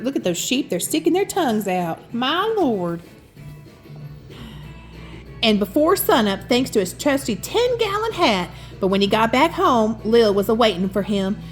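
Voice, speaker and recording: A woman reads a children's picture book aloud. Her voice is on the high side and swings expressively, with affectionate, chatty asides to her listener. Soft music plays behind her. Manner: affectionate, addresses the listener as sweetheart. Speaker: children's storybook reader and host.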